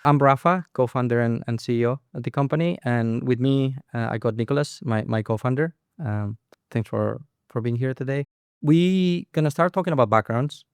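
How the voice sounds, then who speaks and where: A man with a gravelly yet smooth voice talks in a relaxed, conversational way, pausing often on fillers and restarting his sentences. The sound is close and dry, with no room behind him. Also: medium pitch, dry recording. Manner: hesitant, many fillers and restarts. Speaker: co-founder and CEO, podcast host. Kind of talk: casual conversational podcast chat.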